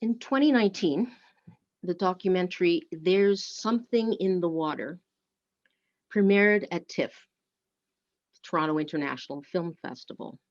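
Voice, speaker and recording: Woman reading aloud, lowish voice, muffled recording. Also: moderate pace, pauses often. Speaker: worship service co-leader and reader. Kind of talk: scripted narration and dramatic reading aloud. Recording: dull, lo-fi muffled sound.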